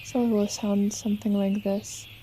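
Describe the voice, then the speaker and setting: A woman leading a guided relaxation meditation as a yoga nidra teacher, with soothing, unhurried, gentle instruction. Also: medium pitch, level tone, a little hiss or hum, low-quality recording. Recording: nature ambience with birdsong behind. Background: birdsong and nature sounds.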